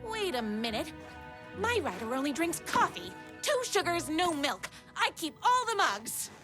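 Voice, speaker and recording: A feisty, lovestruck game heroine with a high-pitched, swooping delivery. She is earnest and easily indignant, hamming up every line like a devoted fan on a rescue mission. Music plays behind her. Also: very animated, unhurried, fairly bright tone, full character voice. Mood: worked up. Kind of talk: hammy game acting with first-person story narration.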